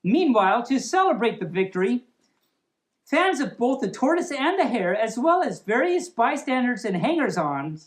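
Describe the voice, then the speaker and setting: Animated high-voiced woman storyteller. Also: moderate pace, rough recording. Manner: playful, silly, expressive. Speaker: storyteller, host of a storytime series. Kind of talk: storybook reading with playful ad-libbed asides.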